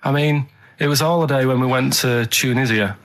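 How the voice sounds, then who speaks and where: A man's voice: mid-range voice, a little fast, a bit bright. A man chatting casually on a radio show, telling rambling anecdotes in a blunt, plain-spoken, colloquial way. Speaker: radio show regular and producer.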